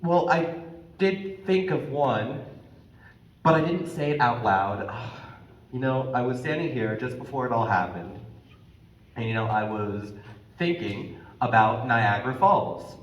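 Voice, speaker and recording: A man's voice: medium pitch, animated, a little slow, lightly acted. An actor playing Adam, the naive first man, speaking whimsically and singing with wonder in a stage musical. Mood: sheepish. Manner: whimsical, wistful, sings with wonder. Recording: roomy, distant stage sound with orchestra.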